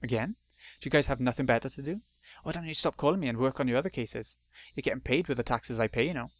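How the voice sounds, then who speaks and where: A man's voice: mid-range voice, quick pace, natural voice. A man voicing a bullying, abusive uncle who sneers and turns falsely sweet. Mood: belligerent.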